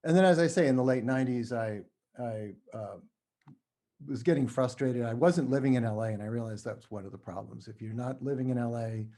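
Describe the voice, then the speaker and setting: A middle-aged man from New Mexico being interviewed, talking casually and haltingly, often correcting himself. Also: medium pitch, mellow. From New Mexico, United States. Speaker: podcast guest, writer.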